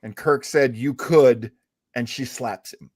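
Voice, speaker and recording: A man's voice: medium pitch, takes it slowly, darkish tone, no room sound, studio quality. A man co-hosting a casual fan podcast, talking in an informal conversational way. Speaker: podcast co-host.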